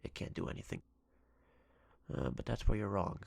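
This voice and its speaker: A man playing a menacing, possessive yandere ex-boyfriend in an ASMR roleplay, with a gravelly, breathy voice and a deliberate, threatening delivery. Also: on the low side, expressive, a little mellow, dry recording, exaggerated voice. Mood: sinister, teasing. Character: menacing obsessive yandere ex-boyfriend, a jealous captor.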